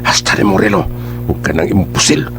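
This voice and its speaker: A man playing a bossy, menacing hold-up robber in a Cebuano radio drama, gruff and mocking, with a Cebuano accent. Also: very deep voice, fairly even intonation, fast talker, music in the background. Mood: threatening.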